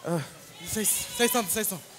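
A man's voice: sits high, fast talker, background noise. A young man performing a live comedy sketch on a theatre stage. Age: young adult. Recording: echoey distant stage, loud music and crowd.

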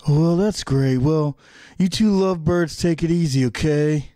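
A man's voice: on the high side, measured pace, dark tone, no room sound, lightly acted. A man playing a squirrely, chummy young store customer with a slangy way of talking in a comedy table read.

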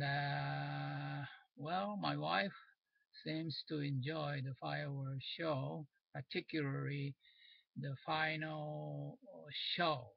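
A man's voice: on the high side, creaky, husky, dry recording, highs cut off. A man in his sixties from Japan with a Japanese accent, recounting his day in hesitant English to a webcam at home. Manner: hesitant, searching for English words. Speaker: vlogger. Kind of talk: casual spoken diary. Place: small cluttered room at home, indoors. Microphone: webcam facing him.

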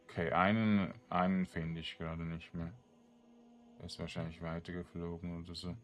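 A man's voice: deep voice, flat delivery, normal speed, soft background music. A man giving casual let's play commentary, muttering to himself in a hesitant way and trailing off. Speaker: gaming streamer narrating his own play.

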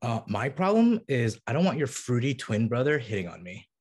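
A man playing an arrogant, popular teenage boy bully with a husky voice in a comedy table read. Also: mid-range voice, sing-song, fairly quick, a little mellow. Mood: sneering.

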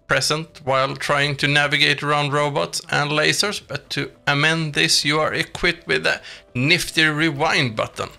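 A man's voice: on the high side, vocal fry, pushed and bright. A man reading a scripted video game review as a voice-over. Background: background music.

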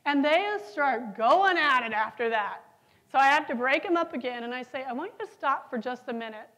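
High-voiced woman giving a keynote. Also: fairly quick. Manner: lively storytelling, asks the audience questions. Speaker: keynote speaker. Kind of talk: conference keynote speech. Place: large conference hall, on stage.

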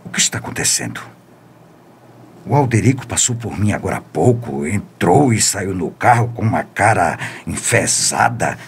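A man's voice: deep voice, animated, moderate pace, slight background noise. A man playing a concerned, questioning confidant in a radio soap opera.

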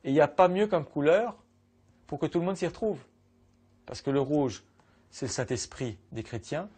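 Measured middle-aged man explaining. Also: a little high, lively intonation, darkish tone, husky. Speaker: interviewed expert. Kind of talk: explanatory interview.

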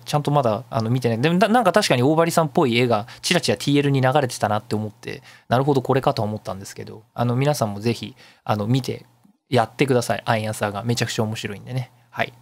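A man's voice: medium pitch, expressive, rapid-fire. A man hosting a casual solo radio talk, chatty and emphatic as he explains things step by step. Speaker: radio show host. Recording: music behind the voice. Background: background music.

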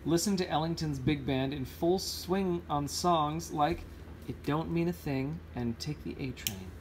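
A man with a slightly high voice reads a picture book aloud in an informal way. He sometimes trips on a word and fixes it as he goes. The amateur recording has faint background noise. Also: measured pace. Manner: informal, stumbles over words and corrects himself. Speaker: read-aloud host. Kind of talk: reading a children's picture book aloud with casual asides.

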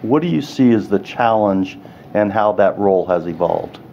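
A man's voice: on the low side, lively intonation, moderate pace, gravelly, warm tone. A man in his sixties moderating a panel, hesitant with many fillers. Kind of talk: panel discussion. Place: hotel room studio set. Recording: dull sound, faint room hiss.

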